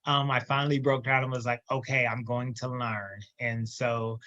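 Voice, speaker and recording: Lively man on a dull remote line. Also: medium pitch, moderate pace, no echo. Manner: lively, emphatic storyteller. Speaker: guest entrepreneur. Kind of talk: casual interview storytelling.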